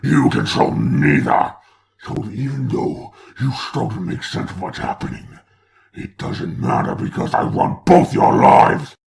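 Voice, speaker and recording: A man voicing an imperious male demon lord of hell in a fan comic dub, raspy and menacing in slow speeches. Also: lively intonation, a little mellow. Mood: commanding. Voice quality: deep, raspy.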